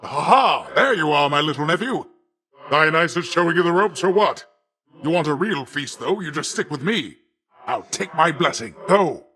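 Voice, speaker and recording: An actor playing a boastful, jovial middle-aged sea god in a video game, a patronizing uncle with theatrical, old-fashioned flowery phrasing. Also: male voice, a little high, expressive, moderate pace, sharp tone. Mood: jovial, proud.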